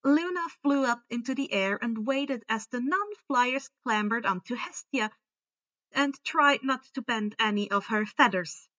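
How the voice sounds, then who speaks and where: A woman narrating a story aloud in an expressive amateur storytelling style, on a slightly muffled recording. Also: a little high, a little slow. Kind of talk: expressive reading of fan fiction aloud.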